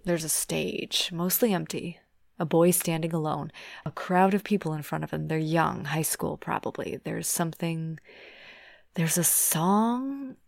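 Give the part to a low, creaky voice: an actress as a young female palm reader in an audio drama. She is guarded and dry, offhand with strangers. The sound is close and dry. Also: measured pace, dry recording. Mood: trancelike, hushed.

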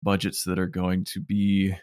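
A man's voice: low voice, monotone, measured pace, darkish tone, dry recording, studio quality. A man answering as an interview guest, a producer talking conversationally about his work.

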